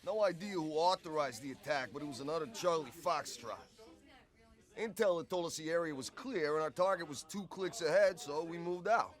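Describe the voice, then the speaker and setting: An actor playing a cocky soldier who tells war stories casually in clipped, wry military slang for a video game. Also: male voice, on the high side, fairly quick, natural voice. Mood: cocky, animated. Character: cocky male soldier swapping war stories with his squadmate. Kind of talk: casual in-character storytelling.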